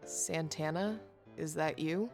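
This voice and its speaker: A woman's voice: sits low, takes it slowly, vocal fry, darkish tone, faint music behind, heavily acted. A woman playing a wary, curious outsider bounty hunter in a Western game, frank and questioning. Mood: probing. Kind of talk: visual novel character acting.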